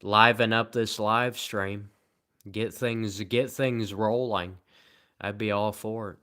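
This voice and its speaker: A tired man live streaming, rambling in a sarcastic, self-mocking way as he answers viewer comments at low energy. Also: fairly even intonation, takes it slowly, bright, forceful tone, clear, resonant tone.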